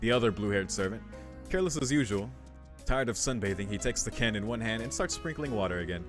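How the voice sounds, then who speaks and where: A man streaming a game, casually commenting and reading text aloud, thinking out loud as he goes. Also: on the low side, animated, fast talker. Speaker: let's play streamer. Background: game music.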